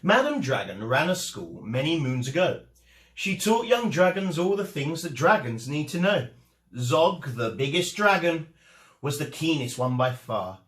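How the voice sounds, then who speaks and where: A man reading a children's storybook aloud as a storyteller, with expressive, dramatic emphasis. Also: fairly high pitch, unhurried. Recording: echoey room.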